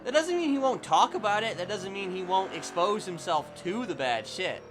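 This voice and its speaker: A man's voice: high-pitched, lively intonation, normal speed, music in the background. A man livestreaming casual commentary on a roleplay game, explaining things in a rambling, hedging way. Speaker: streamer.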